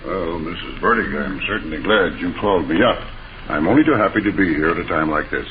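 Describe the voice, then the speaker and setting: A man playing a polite, ingratiating neighbor in 1940s radio style, courteous and unhurried. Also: low-pitched, animated, crowd noise. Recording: muffled phone-like, noisy old radio recording.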